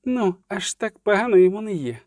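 A man voicing a blunt, hot-tempered woman in a fantasy audiobook, gruff and curt. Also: high voice, expressive, fairly quick, a little mellow, dry recording, clean studio sound, voice pushed up. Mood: muttering.

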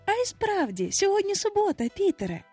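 A woman plays a little storybook girl acting mother, fussing and half-cross but fond. Her high voice swoops through exclamations of mock reproach. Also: very animated, fairly quick, darkish tone, soft background music.

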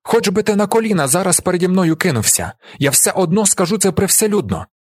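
A man's voice: lowish voice, lively intonation, moderate pace, slightly rough, no echo, clean studio sound. A man voicing an enraged, defiant young war veteran in a dramatized audiobook, speaking in vehement, accusing exclamations. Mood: furious, insistent.

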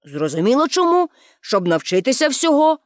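A woman's voice: high-pitched, very animated, normal speed, dry recording, clean studio sound. A woman voicing a patient, insistent policeman in a storybook, reasoning with mild condescension. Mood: patiently insistent.

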